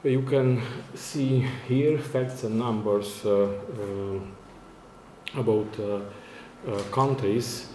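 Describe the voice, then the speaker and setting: A man's voice: on the low side, fairly even intonation, slow pace, dark tone. A man with a Romanian accent gives a formal conference presentation in non-native English, very hesitantly, searching for words. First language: not English, which is a fourth working language. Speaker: academic conference speaker, university lecturer. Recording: echoey room, faint background noise.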